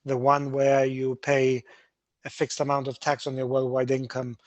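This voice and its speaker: A man hosting a webinar, explaining tax residency rules in a brisk, emphatic way with frequent restarts. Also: medium pitch, monotone, dry recording, dull audio. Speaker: webinar host, Gibraltar tax adviser.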